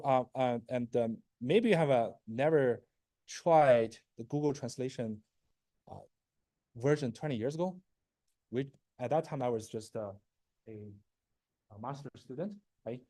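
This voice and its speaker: Brief: man, 40s, native Chinese speaker, university lecturer; warm, relaxed voice, dry close sound, halting delivery with lots of "uh" and "right?". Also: medium pitch, no room sound. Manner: halting, many fillers and restarts, asks "right?" often; frequent throat clearing. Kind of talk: classroom lecture.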